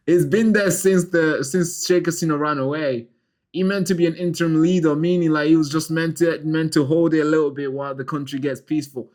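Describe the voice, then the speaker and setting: A man talks to his viewers in a relaxed, rambling way, circling back on his words as he comments on the news. His voice sits at an ordinary, middling pitch. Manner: loose and chatty, with restarts and asides to viewers. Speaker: channel host. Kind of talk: casual news commentary to camera.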